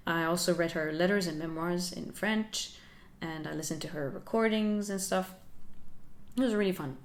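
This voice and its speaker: A young woman talks through her learning journey for her channel. She is soft-spoken and reflective, with a slightly low voice, and she laughs lightly as she goes. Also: moderate pace. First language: Finnish. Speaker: channel host. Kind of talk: casual talking-head vlog.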